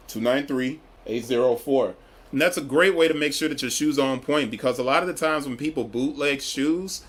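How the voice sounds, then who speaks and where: A man's voice: on the high side, roomy sound. A man casually reviewing a sneaker on camera, rambling and enthusiastic, repeating himself as he goes. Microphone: camera then phone.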